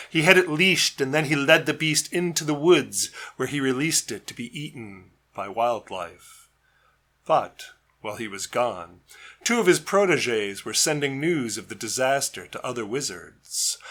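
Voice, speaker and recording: A man reading his own narrative poem as a storyteller, with measured, deliberate phrasing. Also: mid-range voice, sharp tone. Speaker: poet reading own work.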